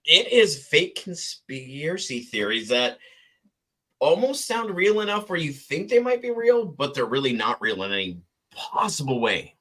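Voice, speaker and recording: A man's voice: fairly high pitch, sing-song, a little slow, pushed and bright. A man hosting a casual chat, weary after staying up all night, loose-talking and easily amazed. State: weary from no sleep. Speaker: podcast host.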